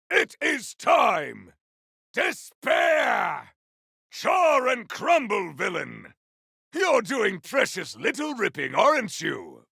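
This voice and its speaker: Game hero: grandiose, vengeful elemental warrior, very gravelly and forceful, theatrical archaic combat lines. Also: male voice, very high-pitched, no echo, studio quality, exaggerated voice. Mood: wry, taunting. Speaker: voice actor for a video game character. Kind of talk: video game combat barks.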